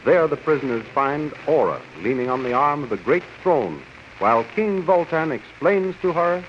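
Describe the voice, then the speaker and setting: A man's voice: on the high side, expressive, normal speed, a little hiss or hum. A man giving dramatic scripted narration in the style of a 1930s radio serial. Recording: muffled old radio recording. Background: music.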